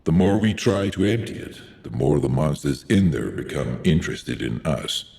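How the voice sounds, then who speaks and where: An actor playing a grave, composed military commander in a video game, speaking in a measured, solemn, authoritative way with a gravelly voice. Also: male voice, extremely low pitch, animated, a little mellow, heavily acted. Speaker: game character. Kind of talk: scripted video game dialogue. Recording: roomy echo.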